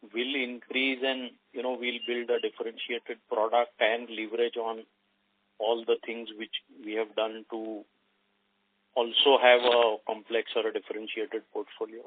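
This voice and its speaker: Man, analyst on a conference call, mid-range pitch, question-led delivery, phone-like audio. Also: no room sound. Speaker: financial analyst asking questions. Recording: dry, narrowband phone line.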